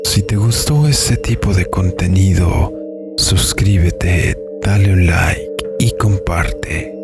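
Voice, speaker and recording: A man with a very low, creaky voice narrates a scripted horror story. He reads very slowly, with music running underneath. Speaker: narrator and host of horror audio stories.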